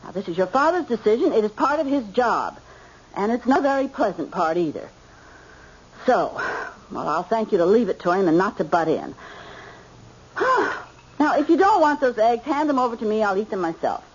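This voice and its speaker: Middle-aged American mother, husky, swooping intonation, chiding, exasperated, vintage radio drama. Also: on the high side, sing-song, normal speed. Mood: scolding, worried. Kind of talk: old-time radio drama acting. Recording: tinny, phone-like old radio recording.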